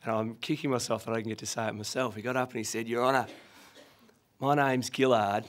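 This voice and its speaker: A man in his thirties with an Australian accent and a husky voice after losing it, presenting a legal seminar from a podium with many fillers. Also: medium pitch, steady tone, quick pace. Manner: self-deprecating anecdotal delivery with frequent fillers. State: husky, recovering from lost voice. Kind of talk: professional seminar talk. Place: conference room. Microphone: podium microphone.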